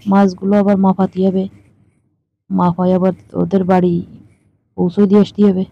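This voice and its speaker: A woman's voice: mid-range voice, flat delivery, moderate pace, vocal fry. A woman in a casual, teasing, colloquial phone chat. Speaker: caller in a staged phone conversation. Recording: muffled lo-fi phone call recording.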